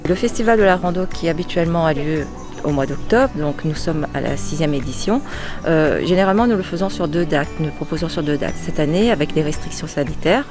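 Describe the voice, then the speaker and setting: A woman explaining in an interview as a tourism office representative, halting and correcting herself. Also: sits low, expressive. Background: music.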